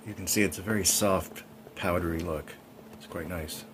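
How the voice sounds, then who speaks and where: A man's voice: deep voice, steady tone, moderate pace, noisy background. A man in his forties casually narrating his bike build over clips, chatty with frequent ums. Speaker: cycling vlogger.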